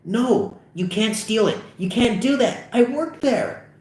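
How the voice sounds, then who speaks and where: An anxious teenage nerd, acted by a man in a comedy script reading. He is excitable and pleading, pitched high with lively swings, in an echoey room. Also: slow pace. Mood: panicked pleading.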